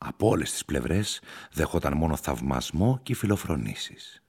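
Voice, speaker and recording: A man narrating a literary short story for radio in a measured, deliberate manner. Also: extremely low pitch, very animated, a little mellow, breathy edge. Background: music.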